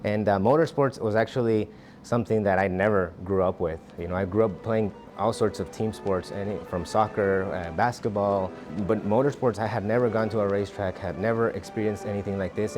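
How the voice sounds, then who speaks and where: A man from Ciudad Juarez, Mexico, earnestly recalling his path into motorsports medicine in an interview. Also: fairly low pitch. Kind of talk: reflective interview testimony. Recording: music bed underneath.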